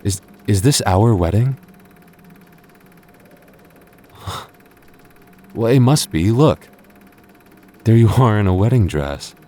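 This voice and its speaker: A man playing a devoted, earnest young boyfriend in an intimate audio roleplay, speaking haltingly with stammers. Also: lowish voice, expressive, warm tone. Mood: curious, amazed. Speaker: voice actor.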